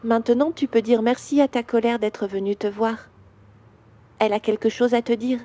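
Woman as a caring little girl, light high voice, warm tone, patient and soothing, storybook. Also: fairly even intonation, moderate pace, natural voice. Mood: gentle. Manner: soft, patient, guiding. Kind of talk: children's audio story.

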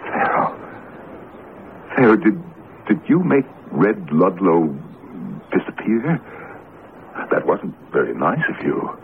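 An actor playing a nervous, flustered professor and husband in a radio drama, speaking in a hesitant, excitable, stammering way. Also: male voice, deep voice, slow, gravelly, pauses often, heavily acted. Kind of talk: vintage radio drama acting. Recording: muffled lo-fi radio broadcast, faint noise.

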